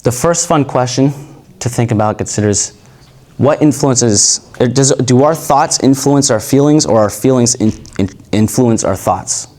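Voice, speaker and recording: A man's voice: medium pitch, normal speed, few pauses. A man coaching a live workshop group, talking in a loose, rambling way that often circles back and corrects itself. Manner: relaxed, rambling, self-correcting. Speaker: communication coach.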